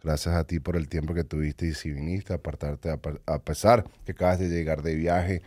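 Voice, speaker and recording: Deep-voiced male interview host. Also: steady tone, dry recording, studio quality. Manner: warm, halting, restarts questions. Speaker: podcast host. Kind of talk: interview questions.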